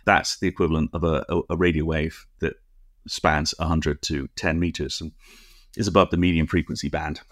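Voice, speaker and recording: Male podcast co-host, low register, dry recording, conversational technical explainer, precise and digressive. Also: steady tone, normal speed, a bit bright, no room sound.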